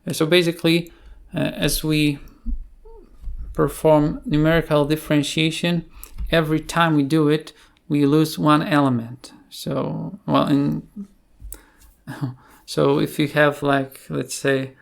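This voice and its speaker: Man, slow pace, gravelly vocal fry, halting delivery, unscripted programming screencast. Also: on the high side, flat delivery. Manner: hesitant, halting explanations with pauses. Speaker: tutorial presenter. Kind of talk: unscripted screencast coding tutorial.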